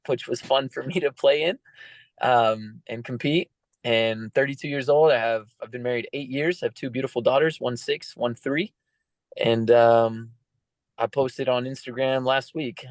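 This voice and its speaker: Earnest thirties man, guest on a chat podcast, mid-pitched, close and dry. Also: no echo. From United States. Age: 30s. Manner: earnest, reflective, many fillers. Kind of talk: casual interview talk.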